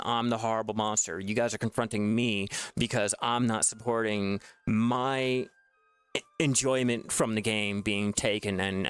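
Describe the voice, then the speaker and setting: A man's voice: lowish voice, smooth, dry recording. A man making gaming videos, ranting in a frustrated way with lots of swearing and restarts. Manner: frustrated, profane, repetitive restarts. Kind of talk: ranting gameplay commentary.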